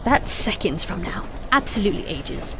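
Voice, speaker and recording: A woman playing a brisk, witty, know-it-all time-travelling investigator in an audio drama, with a wry, breezy, playful manner. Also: mid-range voice, big pitch swings, vocal fry, background noise.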